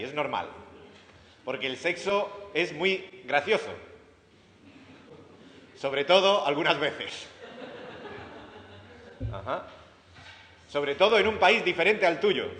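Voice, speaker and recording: A man's voice: fairly high pitch, fairly bright tone, a little hiss or hum. A man with an Andalusian Spanish accent giving an informal, chatty lecture to students, often asking the audience questions and restarting his sentences. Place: lecture room. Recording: echoey hall.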